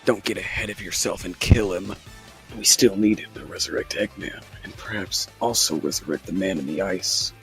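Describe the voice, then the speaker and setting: A man's voice: deep voice, normal speed, fairly bright tone, soft background music. A man voicing an obedient, cautious villain's henchman in an amateur comic dub, deferential in manner. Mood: cautioning.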